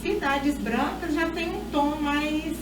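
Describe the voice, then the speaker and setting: A woman's voice: high voice, takes it slowly. A woman with a Brazilian accent interviewing a guest in a casual, conversational way. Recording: echoey, distant mic, noisy background.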